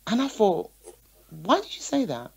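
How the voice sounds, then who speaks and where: A woman's voice: mid-range voice, sing-song, a little slow, vocal fry, darkish tone, breathy edge, poor audio. A woman guest and show promoter in a casual panel discussion, persistently cutting in and making her point conversationally.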